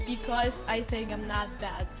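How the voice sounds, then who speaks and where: A woman's voice: fairly high pitch, steady tone, measured pace, music in the background. A woman in her twenties from Russia, a guest actor chatting casually, laughing often and trailing off with fillers. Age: 20s. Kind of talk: casual interview chat.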